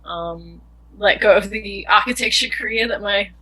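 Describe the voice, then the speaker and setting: A woman's voice: medium pitch, moderate pace, pushed and bright, breathy edge. A young woman filmmaker answering interview questions in an earnest, thoughtful way, often searching for words. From Australia.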